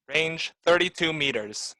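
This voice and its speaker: A woman's voice: low voice, animated, a little slow, sharp tone, heavily acted. A woman playing a stern artillery officer in a radio play, calling out clipped, firm commands. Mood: commanding. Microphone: video call.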